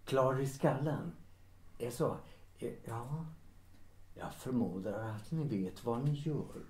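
A man's voice: medium pitch, darkish tone, natural voice. A man playing an awkward, deferential chauffeur in a 1930s audio drama, with hesitant, stammering politeness. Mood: uneasy. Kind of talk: Swedish audio drama acting. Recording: roomy, echoing interior sound.

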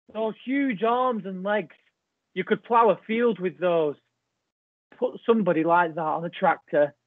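A man's voice: high-pitched, no echo. An actor playing a bitter, crude old rural man, delivering a blunt, contemptuous, rambling stage monologue. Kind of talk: theatrical monologue in a play reading. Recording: thin phone-like band-limited audio.

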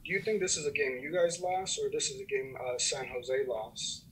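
A man's voice: mid-range voice, lively intonation, normal speed, a bit bright. A man, a sports reporter, putting direct, probing questions at a press conference. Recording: voice from far off the mic.

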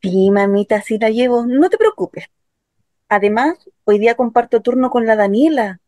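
A woman's voice: fairly high pitch, lively intonation, moderate pace, mellow, no room sound, studio quality, close to an everyday voice. A woman playing a young working-class Chilean daughter in a dramatic first-person radio play. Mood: light, chatty. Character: young working-class daughter recounting her assault, wounded, bitter. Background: music.

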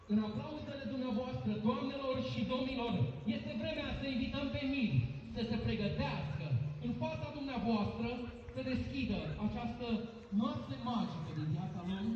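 A man's voice: very high-pitched, mellow, muffled recording. A man working as a wedding master of ceremonies, addressing the guests live. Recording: very echoey hall, distant, noisy background.

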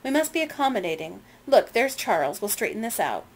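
A woman reading aloud and voicing a nervous merchant who tries to calm his wife, placating and urgent. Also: fairly high pitch, fairly quick. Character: nervous loyalist merchant husband.

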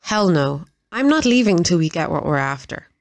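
Casting: actress as stubborn, loyal female assassin's sidekick for audio drama, lowish pitch, dry close recording. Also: sing-song, normal speed, no echo. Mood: protesting. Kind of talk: audio roleplay drama acting.

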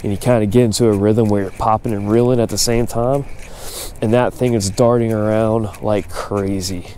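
A man's voice: lowish voice, moderate pace, slight background noise. A young man talking casually to an action camera while fishing from a kayak out on the open sea, explaining his technique. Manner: excitable, chatty, explains technique. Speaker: fishing vlogger.

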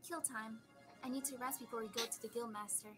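A woman's voice: fairly high pitch, fairly even intonation, fast, fairly bright tone, soft background music, close to an everyday voice. A woman voicing a tired, guarded, stern female rabbit-like creature in a comic dub. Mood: weary, practical.

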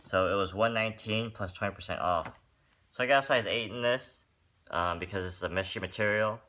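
Low-voiced man reviewing sneakers. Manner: relaxed and rambling, with many fillers. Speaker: sneaker vlogger. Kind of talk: casual product review. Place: room with a wooden floor, indoors.

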